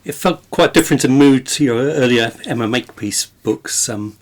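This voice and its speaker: Male co-host, raspy mid-range voice, tentative and reflective conversational questioning. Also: medium pitch, normal speed. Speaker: podcast co-host. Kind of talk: conversational interviewing.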